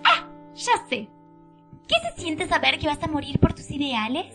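A woman's voice: high-pitched, expressive, normal speed, vocal fry, sharp tone, soft background music, heavily acted. An Argentine woman playing a haughty, vain, easily flustered society villainess in a comic radio play, with theatrical gushing delivery. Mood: exasperated.

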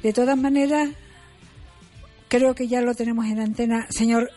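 A woman hosting a casual radio interview, her voice still worn from illness, halting with many fillers. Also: on the high side, fairly even intonation, music in the background. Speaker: radio host.

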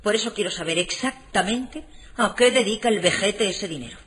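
A woman's voice: mid-range voice, normal speed, fairly bright tone, lightly acted. A woman playing a touchy, fretful middle-class mother in a Spanish radio comedy, exclamatory and indignant, with a Castilian accent. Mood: wheedling, scheming.

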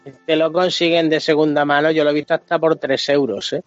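A man with a Spain accent and a somewhat high-pitched voice talks as a podcast panelist. His speech is casual, animated and full of swearing and slang. The audio is a bit muffled, with music underneath. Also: brisk, no room sound. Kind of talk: casual chat about video games. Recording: dull lo-fi audio, music behind.

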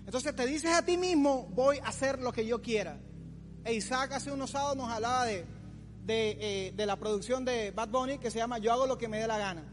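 Pastor juvenil de voz muy aguda. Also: male voice, extremely high pitch, fairly quick. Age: 40s. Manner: colloquial, teasing, acts out imagined complainers. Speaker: youth pastor. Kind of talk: informal youth sermon. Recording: long hall reverb, music underneath.

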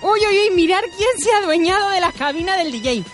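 A woman acting an excitable, gossipy party worker in a comic radio drama. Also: extremely high pitch, fast. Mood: gossipy excitement. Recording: party music behind the voice.

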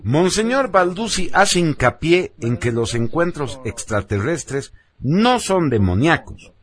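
A man's voice: a little high, big pitch swings, a little slow. A man presenting radio news, reading scripted narration.

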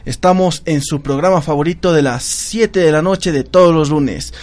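Energetic radio host, man. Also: on the high side, normal speed. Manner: enthusiastic, greets listeners at length. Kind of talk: lively radio show hosting.

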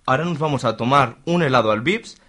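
A man's voice: mid-range voice, animated, fast talker, a bit bright. Falsely sweet, coaxing father, played by a man. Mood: soothing. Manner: cajoling, breezy. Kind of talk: amateur comic radio soap acting.

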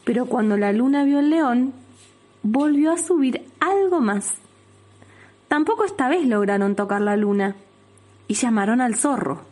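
A woman's voice: on the high side, animated, unhurried, darkish tone. A woman with an Argentine accent, a kindergarten teacher reading a children's story aloud.

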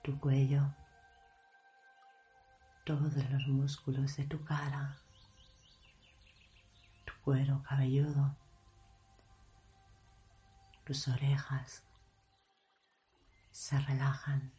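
A woman leading a guided meditation in a hushed, measured delivery, leaving long pauses between short phrases. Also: a bass voice, completely flat delivery, faint music behind. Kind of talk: guided meditation, slow soothing narration.

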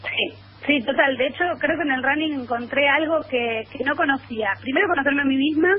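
An Argentine woman in her thirties with a high, bright voice and an accent that blends Argentine and Spain Spanish. She talks eagerly and at length, in lively bursts. The line sounds muffled and lo-fi, with faint noise behind her. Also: fairly quick. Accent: Argentine with Spanish (Spain) mix. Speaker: guest columnist. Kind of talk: phone interview.

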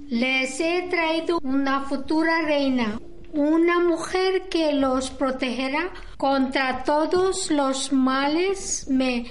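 A woman playing a solemn, protective jungle goddess in an amateur radio drama, with halting delivery. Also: high voice, a little hiss or hum, low-quality recording. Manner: halting, deliberate, stumbles on words.

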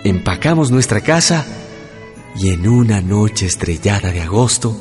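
A man's voice: lowish voice, lively intonation, a little slow, a little mellow. An Ecuadorian man playing an earnest young schoolteacher, in love and wounded, in a radio drama. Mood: reflective. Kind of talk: Spanish-language radio drama acting and narration. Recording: long reverb, music behind.

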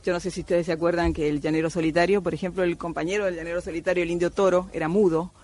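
A woman's voice: on the low side, fairly even intonation, racing, a little mellow. A middle-aged woman from Cuba, an anthropologist, speaking thoughtfully with many hesitations in a radio interview. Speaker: anthropologist guest.

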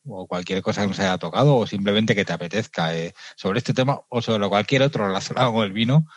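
A man from hosting a wine podcast, chatting casually with frequent pauses and fillers. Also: fairly low pitch, no room sound. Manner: friendly, hesitant with many fillers. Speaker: podcast host.

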